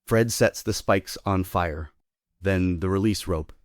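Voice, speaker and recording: A man's voice: sits low, takes it slowly, no echo, studio quality. A man giving scripted narration, reading out the stage directions of a play.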